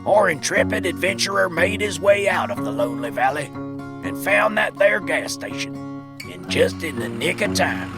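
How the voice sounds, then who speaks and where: Man acting a lively, folksy western narrator. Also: sits high, moderate pace, vocal fry, over music, heavily acted. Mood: grandiose.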